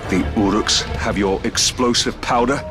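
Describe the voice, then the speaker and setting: A man's voice: lowish voice, normal speed, over music, lightly acted. A man playing a resolute, stern ranger in a video game cutscene, speaking earnestly with a dry edge. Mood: concerned. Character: resolute, stern human ranger hero, dryly wry.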